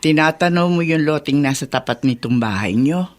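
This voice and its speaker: A woman's voice: deep voice, animated, normal speed. An actress playing a caring, probing older mother and grandmother in a radio drama, with a Filipino accent. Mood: concerned, probing.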